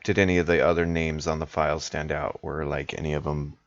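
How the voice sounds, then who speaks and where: A man's voice: rumbling low, level tone, brisk, no echo. A man taking part in a tabletop role-playing game as a player, talking casually and thinking aloud, with slightly dull home-studio sound.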